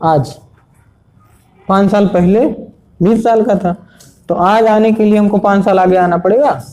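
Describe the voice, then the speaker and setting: Male exam coach, warm low-key tone, repetitive board-style explaining. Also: steady tone, unhurried. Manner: repetitive, checks understanding often. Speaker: math teacher. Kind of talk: exam prep lesson at a board.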